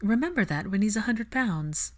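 A woman's voice: medium pitch, animated, normal speed, a little mellow, pitched above the performer's own voice, natural voice. Lady acting a witty young woman, stubborn, assertive, bit teasing, storybook dialogue.

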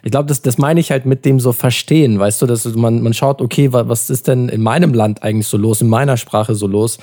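A man's voice: mid-range voice, fairly even intonation, fairly quick, dark tone. A young man in a casual podcast chat, talking in a slangy, informal way.

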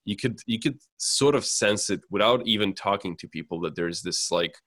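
Serbian guy chatting, lowish voice, lots of ums, dry close sound. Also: a bit bright, no echo. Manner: halting, searching for words with many fillers. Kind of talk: casual conversation.

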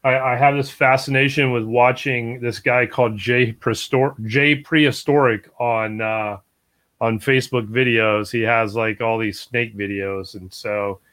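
A man's voice: mid-range voice, steady tone, a little slow, fairly bright tone. Male co-host, easygoing, casual slang, dry jokes delivered straight. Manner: laid-back, dry humor, says "man" and "bro". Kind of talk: casual chat.

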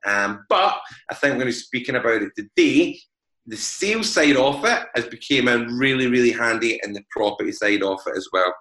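A young man with a Glaswegian accent, talking frankly and deliberately slowly as a podcast guest. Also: mid-range voice, animated, sharp tone, reverberant, low-quality recording. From Scotland. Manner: frank, upfront, deliberately slowed speech. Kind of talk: candid podcast interview.

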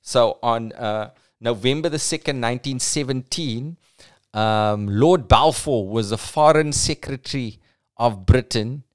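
A man's voice: mid-range voice, unhurried, clear, resonant tone, no room sound, studio quality. A young man hosting a podcast, telling history in a casual, jokey way with slang mixed in. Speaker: podcast host.

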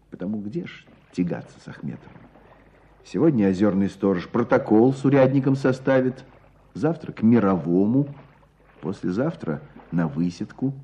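An actor playing an earnest, compassionate storyteller, narrating village life in a radio drama. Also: male voice, fairly low pitch, dark tone, slightly rough. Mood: storytelling. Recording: dull muffled radio play recording.

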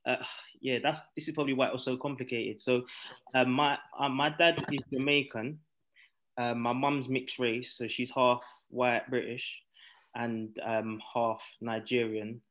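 Flat-voiced man, casual and rambling. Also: medium pitch, unhurried, low-quality recording. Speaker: guest occupational therapist. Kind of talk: casual interview answer. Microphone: video call.

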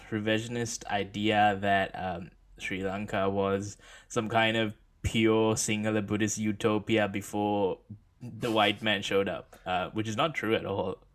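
A man co-hosting a podcast, jumping in with quick remarks full of "like". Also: on the low side, little pitch movement. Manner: quick interjections with many "like" fillers. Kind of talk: casual discussion.

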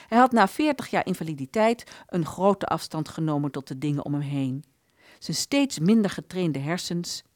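Casting: female storyteller for a scripted radio play, low register, richly varied intonation. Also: no echo, studio quality. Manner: expressive storytelling. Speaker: narrator. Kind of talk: scripted literary audio drama narration.

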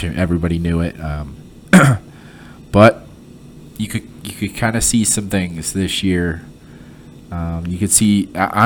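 A man hosting a casual solo podcast, sick with a sinus cold and sounding nasal, talking in a halting way with lots of fillers and restarts. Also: low-pitched, a little hiss or hum. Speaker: podcast host; former head coach.

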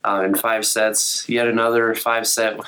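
A young man with a slightly raspy, recovering voice, co-hosting a casual sports chat and talking through results with many fillers. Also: fairly low pitch, little pitch movement, moderate pace, sharp tone, slight background noise, low-quality recording. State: slightly raspy after illness. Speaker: co-host of a college sports podcast.

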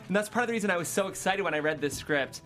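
A woman's voice: on the low side, lively intonation, racing. A woman hosting a show and reading scripted stage directions with enthusiasm. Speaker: host and stage-direction reader.

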